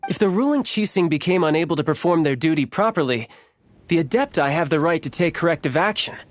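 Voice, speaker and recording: A man playing a suave, slyly friendly young foreign agent in a video game, with a smooth, teasing, theatrical manner. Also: fairly high pitch, animated, fast, no room sound, natural voice. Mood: sly, amused. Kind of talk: video game cutscene acting.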